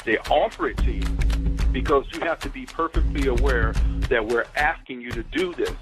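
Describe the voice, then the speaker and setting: Casting: emphatic male talker, mid-pitched, informal exposé delivery that hammers repeated phrases, clear music underneath. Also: normal speed.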